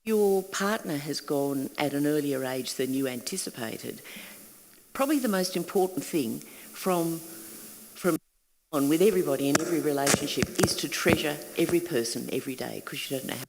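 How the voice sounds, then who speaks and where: A woman's voice: deep voice, expressive, moderate pace, big-room sound, very noisy. An older Australian woman speaking at a panel event, earnest and reflective, dropping at times to a near whisper. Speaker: panel guest, workshop leader. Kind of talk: candid panel talk. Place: event hall.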